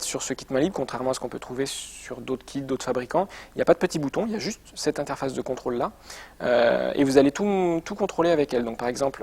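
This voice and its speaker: A man's voice: mid-range voice, darkish tone. Man explaining gear conversationally. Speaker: product presenter for a motorcycle gear shop. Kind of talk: informal product demonstration, semi-improvised.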